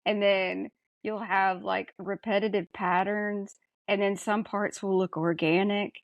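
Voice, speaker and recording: A woman co-hosts a podcast in a dry, close-sounding recording. Her pitch sits in the middle of the range. She talks informally and with emphasis, as in a casual chat. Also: little pitch movement, measured pace, creaky, no room sound, lo-fi sound. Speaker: podcast co-host. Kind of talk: casual chat about a sci-fi film.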